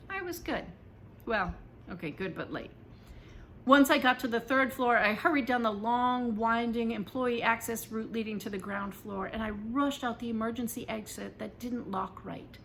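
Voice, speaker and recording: A woman with a fairly high voice reads a children's story in the first person. Her delivery is animated and dramatic, leaning on key words. There is noticeable low, rumbling background noise in the recording. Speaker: author reading aloud.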